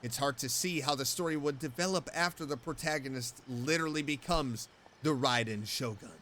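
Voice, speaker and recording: A man streaming a game, reading story text aloud in an expressive way and reacting to it. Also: mid-range voice, a little fast, slight background noise. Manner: expressive, animated.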